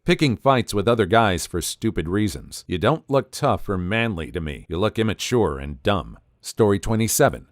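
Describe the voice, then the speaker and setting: Lively low-voiced male narrator. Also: moderate pace, hardly any pauses, no echo, clean studio sound. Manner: wry, animated delivery. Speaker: narrator reading online posts. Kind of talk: scripted narration of reader stories.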